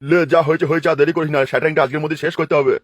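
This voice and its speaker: A man's voice: on the high side, steady tone, rapid-fire, dry recording, clean studio sound, close to an everyday voice. A man voicing a commanding, spiteful head mason in a comic cartoon. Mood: ordering. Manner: curt, ordering.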